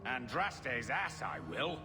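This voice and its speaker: A resentful middle-aged brother in a fantasy game. His bitter, accusing voice rises and falls widely, in long reverb with music clearly behind. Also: mid-range voice, very animated, takes it slowly. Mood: bitter, defensive. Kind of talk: video game drama acting.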